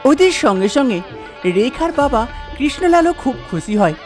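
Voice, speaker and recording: A woman's voice: high-pitched, very animated, moderate pace, a little mellow, soft background music. A woman narrating a scripted cartoon folk story as its storyteller.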